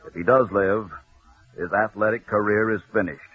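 A man acts out a grave doctor in an old radio drama. The voice is low and husky, slow and measured. The 1940s recording sounds muffled. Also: fairly even intonation. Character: solemn-faced doctor delivering grave news.

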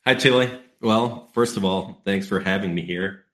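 A very low-voiced man from Ecuador, a native Spanish speaker who talks with an American English accent. He explains his business in a measured, businesslike way, with frequent ums, and his voice carries a roomy echo. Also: a bit bright. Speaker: guest, travel company executive. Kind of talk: podcast interview.

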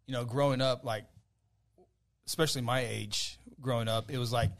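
A man's voice: medium pitch, steady tone, moderate pace. A man co-hosting a podcast, talking casually and hesitantly with many fillers. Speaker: podcast co-host. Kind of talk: casual chat.

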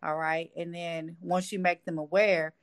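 Lower-pitched woman, dry close sound. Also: level tone, a little slow, no room sound. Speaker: interview guest. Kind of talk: conversational interview answers.

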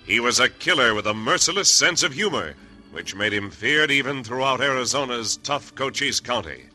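A man's voice: medium pitch, animated, moderate pace. A man with an American accent announcing and narrating an old radio western in a dramatic, declamatory style. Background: loud organ music.

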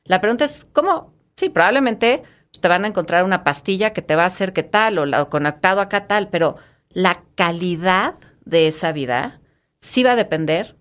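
A woman's voice: lowish voice, lively intonation, normal speed, a bit bright. A middle-aged woman with a Mexican accent, a guest in an interview, talking eagerly and often restarting or repeating her words. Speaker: guest, fitness studio founder. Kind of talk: casual interview talk.

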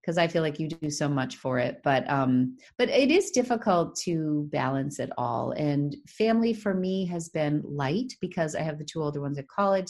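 Podcast co-host, woman in her 40s, very low voice with fry, chatty and rambling. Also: a little mellow. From Massachusetts, USA. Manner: chatty, rambling, laughs often. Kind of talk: casual chat.

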